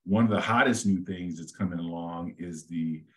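A middle-aged man with a very low, deep voice, the kind people say belongs on radio. He talks in a relaxed, rambling way as a guest, full of fillers and restarts. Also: moderate pace. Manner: easygoing, talks at length with many fillers and restarts. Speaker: real estate agent, guest. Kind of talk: casual podcast interview.

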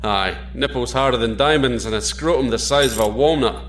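An actor playing a steady, easygoing astronaut captain with a Scottish accent, wry and reassuring in a sci-fi audio drama. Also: male voice, medium pitch, fairly quick, slight background noise, close to an everyday voice. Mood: wry, relaxed.

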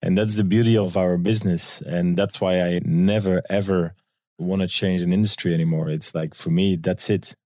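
A man's voice: low voice, steady tone, normal speed, mellow, no room sound, studio quality. A man from Belgium with a Belgian accent, telling anecdotes conversationally as an interview guest and acting out quoted dialogue. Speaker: guest, tour guide and business owner.

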